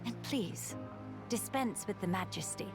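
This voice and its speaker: A woman's voice: mid-range voice, lively intonation, over music. A woman voicing a dignified young empress in a video game, formal, composed and stern. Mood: stern, imperious. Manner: formal, regal, measured.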